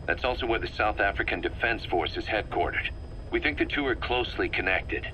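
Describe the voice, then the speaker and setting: A tough mercenary commander in a war game, briefing his troops with brisk, blunt directness. His voice sits low and sounds bright and forceful. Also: lively intonation, slight background noise, rough recording. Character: gruff male mercenary commander giving a briefing. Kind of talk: video game voice acting.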